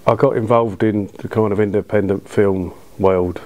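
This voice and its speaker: A British man in his thirties talking informally in an interview, in a low-key, colloquial way. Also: steady tone, normal speed, a little mellow. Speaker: cinema owner being interviewed. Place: small dark cinema auditorium, indoors. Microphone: lapel.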